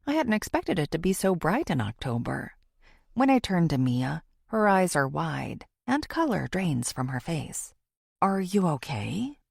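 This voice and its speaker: A woman's voice: deep voice, sing-song, unhurried, creaky, no echo, clean studio sound. A woman reading scripted first-person thriller narration as an audiobook narrator.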